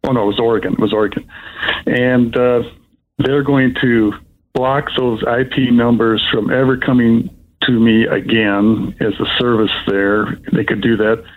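An older man, sixties or above, giving an unscripted, rambling personal account as a caller, in a halting way. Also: medium pitch, level tone, phone-quality sound. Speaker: caller telling of being scammed.

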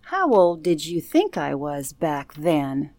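Woman playing a slow-spoken, composed elderly lady. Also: low voice, big pitch swings, warm tone, dry recording, heavily acted. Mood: gently chiding. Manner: measured, formal, deliberate phrasing. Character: composed elderly woman, former telephone operator, politely firm. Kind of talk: radio drama interview acting.

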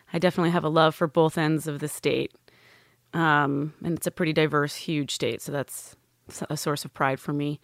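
A woman from California with a low voice tells her story candidly and with easy humor. The sound is dry and close. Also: clear, resonant tone, dry recording. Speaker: podcast guest, stay-at-home mom, former lawyer. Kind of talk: casual storytelling interview.

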